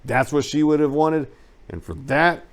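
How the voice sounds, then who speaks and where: A man narrating a first-person memoir as a dramatic reading, with emphatic storytelling and some drawn-out phrases. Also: a little high, expressive. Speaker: narrator reading a book aloud.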